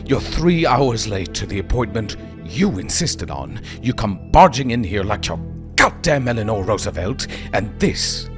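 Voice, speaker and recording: An actor playing a corrupt, contemptuous city administrator who berates and swears. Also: male voice, lowish voice, very animated, unhurried, few pauses. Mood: berating. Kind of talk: audio drama acting. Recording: music playing underneath.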